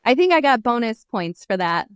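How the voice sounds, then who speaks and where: A woman's voice: fairly high pitch, sing-song, moderate pace, clear, resonant tone, no echo, studio quality. An American woman in her thirties, a guest chatting casually on a video call through an earphone mic, laughing often and using many fillers. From United States. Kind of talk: casual interview chat. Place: small room indoors. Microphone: earphone headset on video call.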